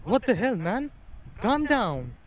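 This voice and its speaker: A man's voice: extremely high pitch, sing-song, slow pace, full character voice. A man with an Indian accent playing a casual, blunt young friend in an amateur radio play. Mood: alarmed. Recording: muffled, very noisy recording.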